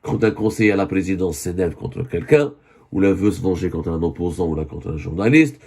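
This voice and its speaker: Hoarse low-voiced man. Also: brisk, a little mellow. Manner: earnest, with many self-corrections. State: hoarse from a cold. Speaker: online news commentator. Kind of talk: spoken video commentary.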